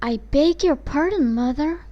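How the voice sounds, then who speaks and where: A woman's voice: sits high, a little slow, no echo, lo-fi sound. Woman voicing a sweet, polite young girl. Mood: plaintive.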